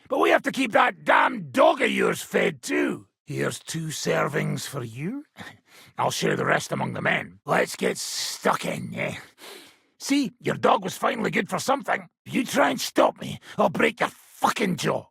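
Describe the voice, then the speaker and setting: A gravelly, foul-mouthed hothead mercenary. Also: male voice, sits high, a bit bright, dry recording, studio quality, heavily acted. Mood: angry, aggressive, then gruff, pushy. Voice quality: gravelly, raspy. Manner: coarse, swearing, blunt. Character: rough, hot-tempered, hard-drinking, foul-mouthed male mercenary soldier. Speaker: game character voice actor. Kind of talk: video game character dialogue.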